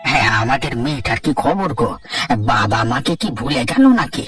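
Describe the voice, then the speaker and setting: Man acting older father-in-law, cartoon, brisk talker, placating, homely, distant mic. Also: mid-range voice, expressive, pushed and bright, soft background music. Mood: easygoing, placating. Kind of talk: Bengali children's cartoon dialogue.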